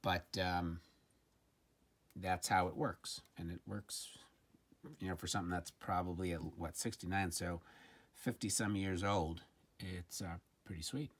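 A man's voice: sits low, steady tone, a little slow, husky. A man giving a casual hands-on product demonstration, informal and a little hesitant, as if thinking aloud. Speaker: presenter of a gadget review. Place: indoors at a tabletop. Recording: faint background noise with handling clicks.

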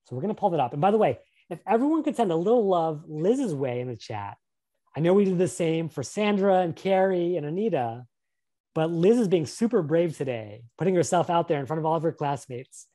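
A man's voice: on the high side, animated, brisk, dark tone. Upbeat man leading a career webinar. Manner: upbeat, encouraging, asks questions. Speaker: career workshop presenter. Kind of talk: live webinar presentation. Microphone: webcam on a video call.

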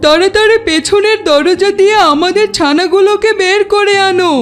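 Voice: very high-pitched, moderate pace, a bit bright. An actor voicing an anxious, protective parent duck in a children's cartoon, speaking with urgent warning. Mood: alarmed.

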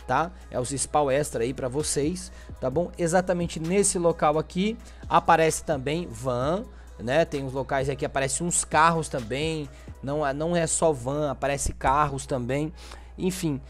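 A man's voice: a little high, unhurried. A Brazilian man giving casual game tutorial commentary, informal, often tagging sentences with little check-in questions. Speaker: gaming video host. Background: background music.

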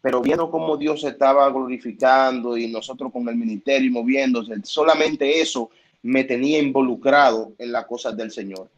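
A man from the Dominican Republic speaking calmly and measuredly on a video call, with pauses and hesitations. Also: medium pitch, lo-fi sound. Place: home room, indoors. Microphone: laptop webcam on video call.

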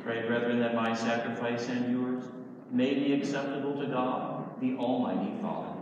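A man leads Mass prayers with slow, solemn phrasing. His voice rings through a large church and is caught from far away. The sound is muffled and slightly hissy. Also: mid-range voice, steady tone, heavy reverb, big-room sound. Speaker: priest celebrating Mass. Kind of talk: liturgical prayer. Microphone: far camera.

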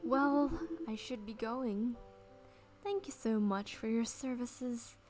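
A sweet, earnest young florist from a visual novel as a woman player reads her, musing gently in a fairly high voice. The words come slowly, with music clearly behind them. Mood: musing, relieved.

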